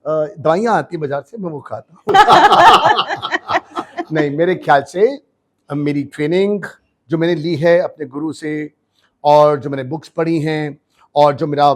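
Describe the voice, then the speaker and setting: A man, a business coach telling his life story in an interview, switching between Hindi and English with emphatic, animated delivery. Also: a little high, a little slow. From India. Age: 60s. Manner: animated, emphatic, mixes languages.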